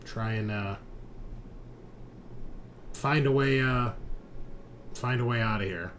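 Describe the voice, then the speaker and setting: A man voicing a bitter, foul-mouthed woman, a former space station manager held prisoner, grim and halting in a tabletop game. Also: medium pitch, fairly bright tone, slight background noise. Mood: grim, weary. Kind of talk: tabletop game role-play.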